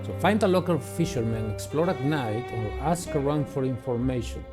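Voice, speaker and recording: Male game streamer talking over clear music. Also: mid-range voice, normal speed. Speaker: gaming channel host. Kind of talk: casual playthrough commentary and reading aloud.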